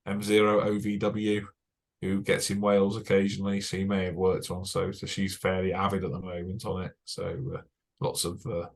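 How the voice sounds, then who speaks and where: A man's voice: deep voice, completely flat delivery, moderate pace. A young British man, a broadcast engineer, giving a chatty technical talk over slides, with many fillers and dry asides. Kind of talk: casual presentation over slides.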